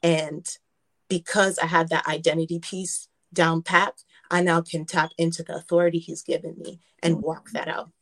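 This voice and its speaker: A woman's voice: low voice, little pitch movement, normal speed, fairly bright tone. A woman from the United States speaking earnestly and reflectively as a guest in a conversational interview. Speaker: gospel singer, radio guest.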